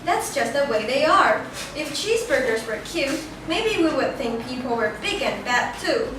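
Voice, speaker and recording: A woman's voice: high-pitched, big pitch swings, moderate pace. A woman reading scripted storybook narration in a reader's theater performance. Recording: very echoey, distant, noisy room.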